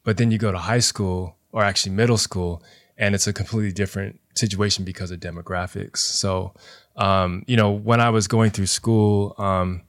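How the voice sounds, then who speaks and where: A young man, a teacher, speaking as a podcast guest in a soft, reflective way, with many fillers like "you know". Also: on the low side, steady tone, moderate pace, dry recording, clean studio sound. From Los Angeles area, US. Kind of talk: reflective interview talk.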